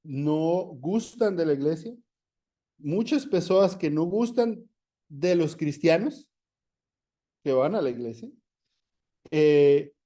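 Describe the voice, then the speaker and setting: Slow, mellow Spanish-accented guest speaker. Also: male voice, a little high, expressive. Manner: slow and deliberate, searching for words. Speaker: guest speaker and church mentor. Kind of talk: talk to pastors, mixing Spanish and Portuguese.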